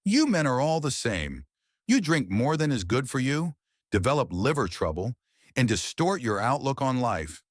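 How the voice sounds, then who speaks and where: A man plays a cheerful young English lady in a vintage pulp tale. The speech is teasing and chatty, with very animated swings of pitch. The sound is close and dry. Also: normal speed, no room sound. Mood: defiant, cheerful. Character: cheerful, spirited young English society woman.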